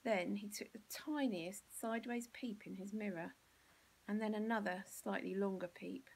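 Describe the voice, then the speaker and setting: Husky English woman reading a children's story. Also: mid-range voice, steady tone, moderate pace, a little mellow.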